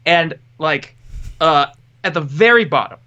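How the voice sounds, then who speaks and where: A man's voice: a little high, animated, measured pace, pushed and bright, dull audio. A man co-hosting a podcast in casual chat, joking and rambling, often cutting himself off, wearing headphones. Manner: joking, rambling, self-interrupting. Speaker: podcast co-host. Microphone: headphones with mic.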